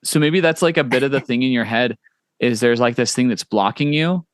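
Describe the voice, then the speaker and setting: A man's voice: medium pitch, dry recording, clean studio sound. Easygoing young man hosting a chat. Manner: relaxed, full of "like" and "you know", quick to agree and encourage. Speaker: podcast host. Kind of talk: casual interview chat.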